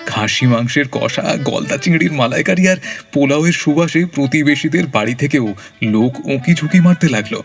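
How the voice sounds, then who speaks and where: A man's voice: on the high side, a little fast, faint music behind. A man narrating a scripted comic audio story as its storyteller.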